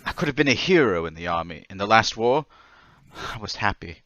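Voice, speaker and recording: An actor playing a troubled, desperate man confessing to murders, halting and pleading in old time radio drama style. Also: lowish voice, expressive, bright, forceful tone, dry recording. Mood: frantic, insistent. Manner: halting, agitated, stammering, pleading.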